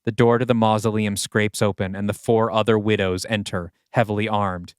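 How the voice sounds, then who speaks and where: A man's voice: on the low side, little pitch movement, moderate pace, smooth, no room sound, clean studio sound. A man reading scripted stage directions as the narrator of a dramatic audio play, with music behind him.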